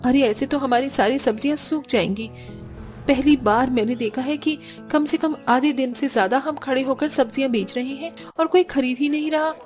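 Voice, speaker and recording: A woman's voice: high-pitched, moderate pace, darkish tone, faint music behind, natural voice. A woman voicing a poor, earnest young daughter-in-law in a cartoon family story. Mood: dismayed. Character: young poor daughter-in-law, anxious, sensible and plain-spoken.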